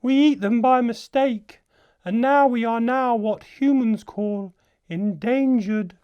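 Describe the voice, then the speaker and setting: A woman playing a huge, gentle, very old female leatherback turtle in a storybook, speaking slowly and deliberately. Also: fairly high pitch, clean tone, highs cut off. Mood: solemn. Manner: slow, deliberate, drawn-out delivery. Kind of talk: storybook character voice.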